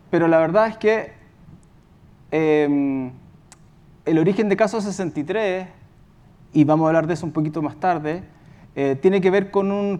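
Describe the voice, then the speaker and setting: A man with a Chilean accent giving an informal conference presentation as a company executive, halting and correcting himself as he goes. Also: a little high, a little mellow.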